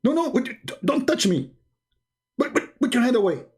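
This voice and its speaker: A man's voice: very high voice, sing-song, moderate pace, darkish tone, pitched above the performer's own voice. A man voicing a flustered, timid man who protests in panicky squeals. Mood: panicked.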